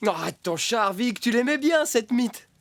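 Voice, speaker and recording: An actor voicing an upbeat, scheming fox disguised as a dog in an animated cartoon. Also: mid-range voice, moderate pace, no room sound, lightly acted. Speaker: cartoon lead and narrator.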